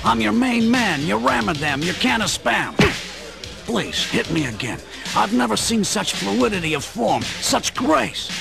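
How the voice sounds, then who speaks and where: An actor playing a tough, blunt, wisecracking plumber in a comic radio play. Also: male voice, high voice, very animated, a little slow, creaky, over loud music, full character voice. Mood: smooth-talking. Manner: brash, wisecracking. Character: tough, blunt working-class plumber hero.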